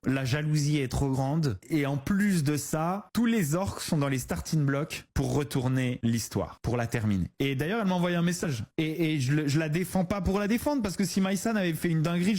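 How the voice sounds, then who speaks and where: A man's voice: a little high, darkish tone. A man giving chatty commentary on online celebrity news, mimicking the people he quotes in mocking voices.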